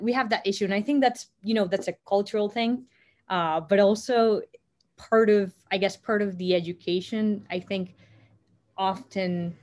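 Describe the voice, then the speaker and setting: A young woman from Costa Rica, answering interview questions thoughtfully, with frequent pauses and restarts. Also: medium pitch. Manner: thoughtful, many fillers and restarts. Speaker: guest.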